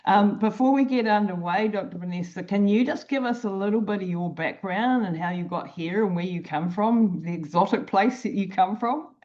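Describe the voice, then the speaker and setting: A woman's voice: medium pitch, normal speed, few pauses. Enthusiastic New woman host. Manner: enthusiastic, warm welcomes, easy chat. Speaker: podcast host. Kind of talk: casual interview chat. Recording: dull lo-fi sound.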